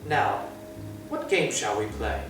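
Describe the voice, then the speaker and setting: A man's voice: lowish voice, animated, takes it slowly, music in the background. A man voicing a sinister, controlling false mother who coaxes sweetly with menace in a storybook reading. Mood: cold, controlling. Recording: very distant, echoey room.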